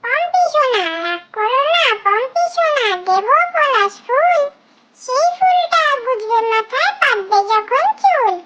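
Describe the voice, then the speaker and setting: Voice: very high-pitched, lively intonation, a little slow, pushed and bright. A tiny childlike voice reciting nursery rhymes in a sing-song way, on a very noisy track with clicks.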